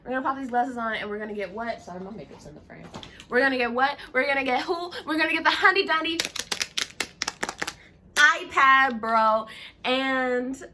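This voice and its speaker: A woman's voice: high-pitched, normal speed, noisy background. A young Southern woman with a stuffed-up, congested voice, chatting casually to her viewers with lots of ums and y'alls. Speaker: YouTuber reading Reddit stories. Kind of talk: casual vlog chat. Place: home room, indoors.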